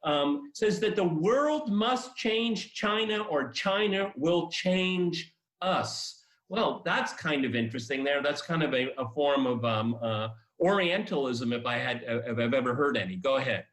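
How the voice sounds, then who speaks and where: Male talk show host, slightly high-pitched, halting and emphatic political discussion, roomy echo. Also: expressive, a bit bright. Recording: echoey room.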